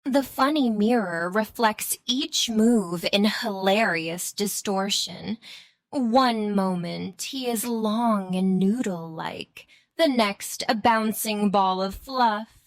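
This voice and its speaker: A woman's voice: mid-range voice, slow, no echo. A woman reading scripted narration for a children's bedtime story.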